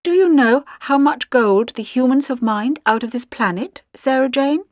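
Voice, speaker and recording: An alien-possessed teenage girl, as acted by a woman reading an audiobook, confides her plans of conquest with quiet menace. The voice sits slightly high and is recorded very dry and close. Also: moderate pace, no room sound, close to an everyday voice. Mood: quiet gloating.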